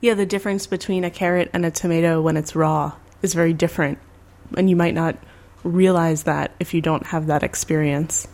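Low-voiced woman podcast host. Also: fairly even intonation, a little fast, few pauses, a little mellow. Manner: conversational, affirming, light laughs. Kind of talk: interview questions.

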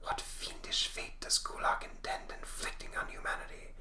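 A man voicing a solemn, grim avenging ghost from a comic book in a slow, breathy whisper. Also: sharp tone, reverberant. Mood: grim, defiant. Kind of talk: comic book read aloud.

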